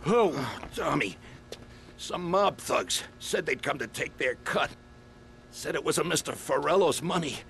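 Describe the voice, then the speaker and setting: An actor playing a hurt, groaning old man in a video game, shaken but feisty, his voice creaky. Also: on the high side, expressive, a little slow, fairly bright tone, a little hiss or hum, heavily acted. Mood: pained, bitter. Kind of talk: video game cutscene acting.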